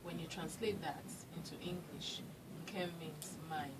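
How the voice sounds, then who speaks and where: A Nigerian woman in her thirties speaking as a guest writer, her voice very low for a woman. She talks in a relaxed, unhurried way, filling pauses as she thinks. The mic sits far off and loud noise runs behind her. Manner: relaxed, hesitant with fillers. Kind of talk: radio interview.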